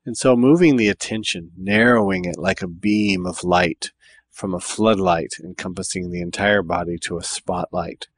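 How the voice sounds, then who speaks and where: Low-voiced man guiding meditation. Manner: calm, measured, deliberate phrasing with pauses. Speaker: meditation guide. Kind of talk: guided body scan meditation.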